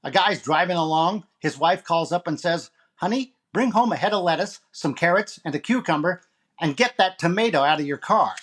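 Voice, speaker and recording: A man acts out a wisecracking male comedian of 1940s radio. The voice sits high for a man and delivers topical gags in monologue form, setup and punchline. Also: animated, moderate pace, a bit bright. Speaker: actor playing a comedian host.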